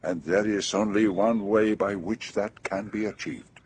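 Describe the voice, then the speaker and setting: An actor playing a fussy, shrewd older detective with a Belgian French accent in a radio drama, speaking precisely and deliberately. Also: male voice, fairly low pitch, steady tone, darkish tone, a little hiss or hum, lightly acted. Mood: self-satisfied.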